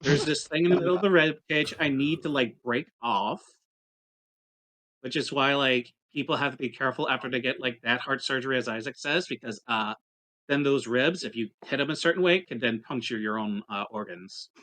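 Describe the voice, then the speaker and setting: A man's voice: medium pitch, fairly quick, a bit bright. A man playing a tabletop game, chatting casually and explaining things bluntly with some swearing. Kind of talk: casual game-session chat.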